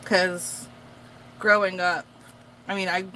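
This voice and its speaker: A woman's voice: fairly low pitch, little pitch movement, takes it slowly, sharp tone, slight background noise, low-quality recording. A young woman whose first language is Portuguese, talking casually and rambling with lots of fillers while she crafts. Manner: chatty, rambling with many fillers. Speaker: craft vlogger. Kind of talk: casual chat.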